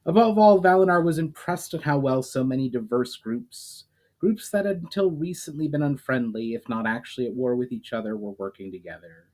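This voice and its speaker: A man's voice: mid-range voice, brisk, low-quality recording. A man, an aspiring author, reading a chapter of his own fantasy novel aloud after a hesitant introduction full of fillers. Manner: hesitant intro with many fillers, steady storytelling read with small restarts. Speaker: self-published author.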